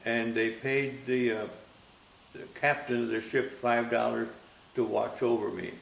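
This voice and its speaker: A man in his nineties who grew up in Austria tells his story in a gentle, halting way, going back over his words. His voice is heavily gravelly with fry. The room rings with echo, and a faint hiss sits under the recording. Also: medium pitch, steady tone. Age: 90s. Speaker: interviewee. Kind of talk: reminiscing interview.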